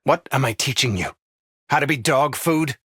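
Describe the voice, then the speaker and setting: Husky, contemptuous game villain overseer. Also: male voice, medium pitch, lively intonation, normal speed, fairly bright tone, no room sound, clean studio sound. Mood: disgusted despair. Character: contemptuous, bitterly disappointed villainous male overseer. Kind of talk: scripted video game character acting.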